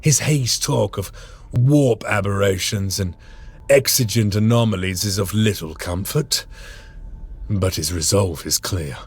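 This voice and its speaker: A stern space marine commander in a video game. His voice is low and gravelly with a husky edge, and he speaks with formal, weighty resolve. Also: a little slow. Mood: grim resolve. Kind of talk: dramatic video game cutscene acting.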